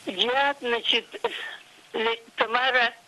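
A woman in her eighties from the Leningrad region of Russia, calling a live show by telephone with halting, repetitive, homely phrasing. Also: a little high, steady tone, pushed and bright. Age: 80s. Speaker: caller to a live programme. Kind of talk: casual spoken question on a phone-in. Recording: tinny telephone line.

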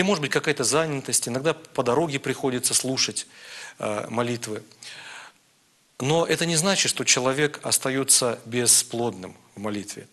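A man speaking as a priest on a live programme, answering questions in a measured, earnest, preaching way. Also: medium pitch, pushed and bright. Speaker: priest guest answering viewers' questions on air.

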